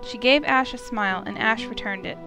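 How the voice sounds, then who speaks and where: A woman's voice: on the high side, a little fast. A woman reading scripted third-person narration for a visual novel in a storytelling style. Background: melodic mallet music.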